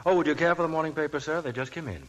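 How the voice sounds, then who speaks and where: An actor playing a chatty, deferential hotel clerk in a 1940s radio drama. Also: male voice, on the high side, very fast, muffled recording, a little hiss or hum, close to an everyday voice. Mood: chatty, obliging.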